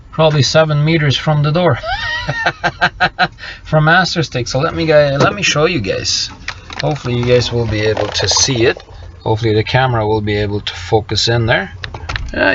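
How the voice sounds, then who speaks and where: A middle-aged man chats casually on a live stream from his parked truck, his voice at an ordinary middle pitch. He talks in halting stretches, restarting sentences and reaching for words. The sound is slightly muffled, with faint noise underneath. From Bolivia. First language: Low German. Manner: halting, many fillers and restarts. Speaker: trucker vlogger reading live chat.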